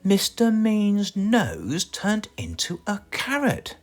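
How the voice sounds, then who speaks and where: A man with a British accent reading a children's storybook aloud in a theatrical, expressive way. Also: high-pitched, slow, soft background music. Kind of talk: animated children's storybook narration.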